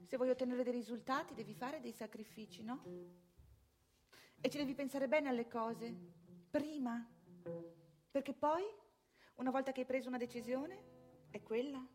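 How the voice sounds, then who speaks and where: A woman's voice: sits high, a little mellow, close to an everyday voice. An actress playing a proud, anxious, self-righteous married mother in an opinionated theatrical monologue full of rhetorical questions. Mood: earnest, proud.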